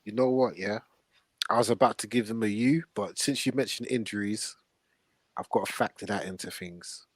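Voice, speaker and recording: A man's voice: on the low side, normal speed, no echo. A man hosting a casual football panel chat, blunt and full of slang. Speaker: podcast host.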